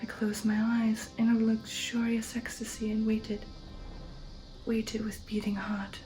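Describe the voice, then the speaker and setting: A woman narrates in a hushed, breathy ASMR whisper. Her delivery is slow and deliberate with a dramatic storytelling feel, staying very level in pitch. Music plays clearly beneath her voice. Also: monotone, rough recording. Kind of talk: whispered ASMR audiobook reading. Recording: music under the voice.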